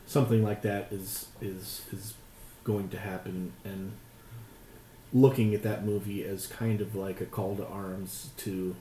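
A man's voice: on the low side, fairly even intonation, a little slow, mellow. A middle-aged American man from rural Utah, chatting casually and choosing his words hesitantly. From rural Utah, United States. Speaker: podcast participant. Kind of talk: casual chat. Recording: roomy echo, clear background noise.

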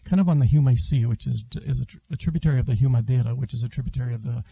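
A man born in Brazil, a school head, answering interview questions in a relaxed way with fillers and restarts. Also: mid-range voice, rapid-fire, creaky, breathy edge, dry recording. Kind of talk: casual interview conversation.

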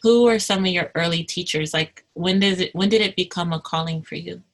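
A woman's voice: fairly low pitch, fairly quick. A woman hosting an interview, chatty and casual with slang and easy laughter. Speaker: interview host.

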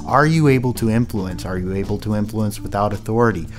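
Enthusiastic Midwestern man, low voice. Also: fast talker. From Midwestern United States. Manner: energetic, uses rhetorical questions and emphatic phrasing. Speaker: career centre manager, guest. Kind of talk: casual interview answers.

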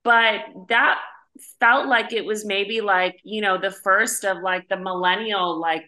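A woman's voice: fairly low pitch, sharp tone, rough recording. A young adult woman talking informally as a podcast guest, with "like" peppered through her casual chat. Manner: informal, uses "like" heavily.

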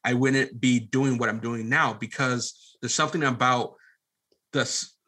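A man with a bright, forward voice speaks as a guest in an interview. His delivery is casual and earnest, like someone sharing advice informally. The pitch sits in an ordinary male range. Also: fairly even intonation, unhurried. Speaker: podcast guest, media company CEO.

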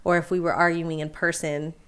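Woman, fairly low voice, candid, reflective, casual podcast chat. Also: flat delivery, fairly quick, crowd noise. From Puerto Rico. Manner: candid, reflective, laughs easily. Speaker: podcast guest.

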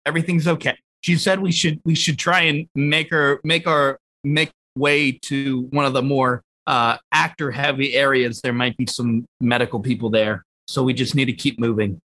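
A man's voice: medium pitch, fairly even intonation, normal speed, few pauses, sharp tone, a little hiss or hum. A man casually improvising as a player in a tabletop roleplaying game.

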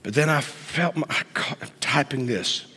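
A man in his late fifties, a pastor preaching a sermon in a church, dropping to whispers and then rising to high, loud outbursts. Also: normal speed, slightly rough. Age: 50s. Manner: swings from hushed whispers to sudden high outbursts. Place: church sanctuary. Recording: long church reverb.

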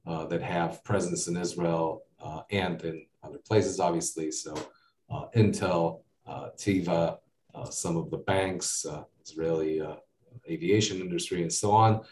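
A man with an American accent talks in a loose, rambling way, filling his pauses with "uh" and "you know". His voice sits low. He is recorded from a distance in a noticeably echoey room. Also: steady tone, a little mellow, slight background noise. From United States. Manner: rambling, many fillers and asides. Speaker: guest. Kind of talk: casual interview talk.

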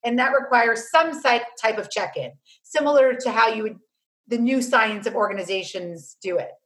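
A woman's voice: normal speed, fairly bright tone. A woman who works as a family mediator, talking earnestly as a guest, laying out numbered steps and telling anecdotes. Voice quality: low-voiced by her own account. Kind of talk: conversational guest explaining advice.

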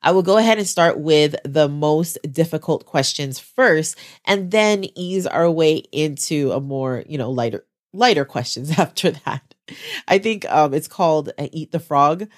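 A woman's voice: lively intonation, a little slow. A woman hosting her own podcast as a special education teacher, talking to listeners in a chatty, encouraging way while stuffed up from the flu. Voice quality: lower, congested voice. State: stuffed up, getting over the flu. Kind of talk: solo podcast talk.

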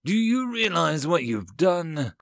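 A man's voice: a little high, very animated, slow pace, gravelly, no echo, studio quality, pitched above the performer's own voice, exaggerated voice. A man voicing an indignant male insectoid alien chancellor in a story, emphatic and demanding. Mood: angry, exasperated.

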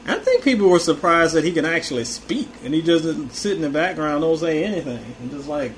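Higher-voiced, opinionated American man. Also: animated, a little fast, a little hiss or hum, rough recording. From Hampton Roads, Virginia, United States. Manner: opinionated, exasperated, slangy. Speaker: podcast co-host and music reviewer. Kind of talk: casual podcast banter.

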